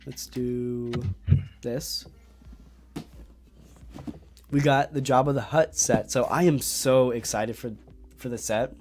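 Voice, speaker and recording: A man's voice: medium pitch, steady tone, slow, pauses often, a little hiss or hum. A young man hosting a casual livestream chat, talking with enthusiasm and rambling through fillers and restarts. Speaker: host of a toy collecting livestream.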